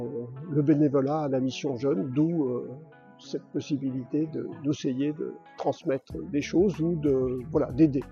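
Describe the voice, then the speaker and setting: A man's voice: fairly high pitch, warm tone. A man of seventy giving an interview testimonial, hesitant and searching for his words. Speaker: retired volunteer mentor. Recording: dull sound, music behind. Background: music.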